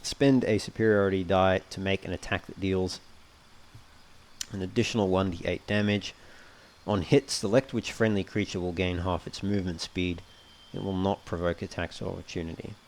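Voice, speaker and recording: A man giving casual let's play commentary, thinking aloud in a measured, understated way and often reading game text out. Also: sits low, darkish tone, a little hiss or hum. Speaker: gamer narrating a playthrough.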